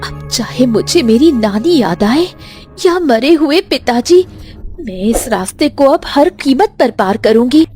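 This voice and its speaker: A brave young cartoon village girl, acted by a woman, earnest and determined. Her voice is high and soft-toned, and she takes her time. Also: expressive, measured pace, faint music behind. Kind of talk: animated village fairy tale acting.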